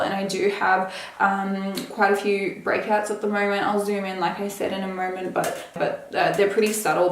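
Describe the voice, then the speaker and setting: A woman's voice: medium pitch, roomy sound. A young adult woman casually reviewing a skincare product, chatty, with plenty of fillers. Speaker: beauty vlogger.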